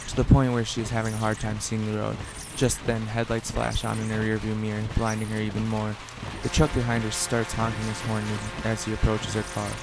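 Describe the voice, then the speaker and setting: Gravelly man narrating over noisy effects. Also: on the low side. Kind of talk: scripted radio play narration, reading stage directions. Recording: noisy, rain and sound effects behind.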